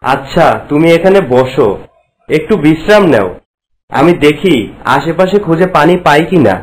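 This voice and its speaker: A man's voice: fairly high pitch, animated, normal speed, poor audio. A man playing a brave, protective young hero in a fairy-tale cartoon, speaking earnestly.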